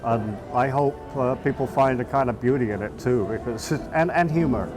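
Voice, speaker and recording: A man's voice: medium pitch, moderate pace, over music. A middle-aged man from England with a southeast English accent, an artist speaking casually in an interview, hesitant with many fillers and restarts. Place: outdoors in a town.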